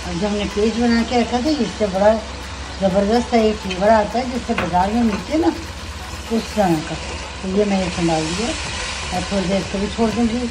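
A woman's voice: medium pitch, brisk, noisy background. Chatty woman hosting a home cooking video. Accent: Pakistani Urdu. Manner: chatty, homely, explains each step as she goes. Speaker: cooking channel host. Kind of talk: casual cooking demonstration voice-over. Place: home kitchen. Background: background music.

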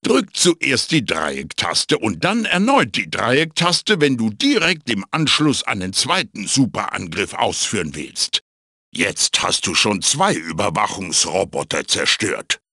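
A man voicing a wise, fussy magical tiki mask mentor in a video game, with a raspy, theatrical delivery. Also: fairly low pitch, very animated, unhurried, bright, forceful tone, dry recording, clean studio sound, heavily acted. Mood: instructive, emphatic. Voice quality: raspy, gravelly. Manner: theatrical, emphatic, swooping delivery. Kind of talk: German video game voice acting, tutorial hints and quips.

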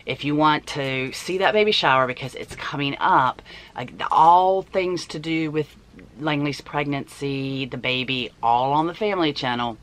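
A woman in her forties with a hoarse voice, chatting casually and emphatically to camera like a shopping vlogger. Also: low voice, normal speed. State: hoarse voice from allergies. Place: small indoor room at home.

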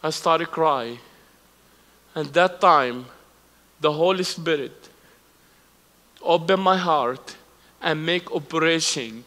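A man in his forties with an Arabic accent speaks in a high, bright voice, telling his story from a church stage. His English is broken, and he acts out both sides of remembered conversations. The sound rings with long hall reverb. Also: slow, long pauses. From Palestinian, raised in Lebanon. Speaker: guest speaker. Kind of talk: testimony preached on stage. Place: church stage with live audience.

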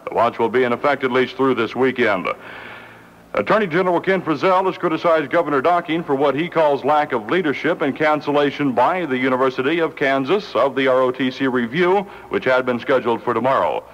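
A man's voice: mid-range voice, fairly quick, fairly bright tone. An American man reading a scripted radio news report in the style of 1970. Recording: muffled, noisy old radio broadcast.

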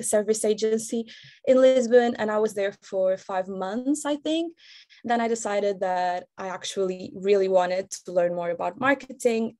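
A woman's voice: mid-range voice, a little mellow. Casting: young Portuguese-accented woman, chatty intern on a video call, mid-height voice. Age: 20s. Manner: chatty, many "like" fillers. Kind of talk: casual self-introduction on a video call.

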